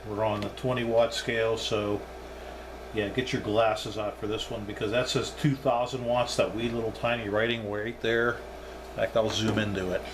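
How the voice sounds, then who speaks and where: A man's voice: fairly low pitch, little pitch movement, normal speed, noisy background. A man giving a casual, unscripted hands-on demonstration as a hobbyist reviewer, thinking aloud as he goes.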